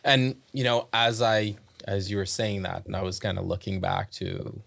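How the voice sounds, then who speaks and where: A man's voice: on the low side, flat delivery, moderate pace, gravelly, fairly bright tone, dry recording. A man from the Bahamas hosting a casual podcast chat, asking careful, hedged questions and telling stories with laughs. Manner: thoughtful, hedging questions, laughs through stories. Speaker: podcast host.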